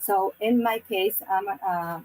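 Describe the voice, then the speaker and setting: A woman's voice: mid-range voice, slow pace, a little mellow, slight background noise, poor audio. Woman. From Argentina. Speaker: community leader, panel guest.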